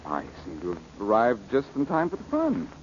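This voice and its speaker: An American actor playing a wisecracking, cocky amateur detective in 1940s radio drama style, dry and flippant. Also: male voice, mid-range voice, wildly swooping pitch, a little fast. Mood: wry, brisk. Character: wisecracking amateur detective pressed into bodyguard work. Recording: muffled, noisy old radio recording.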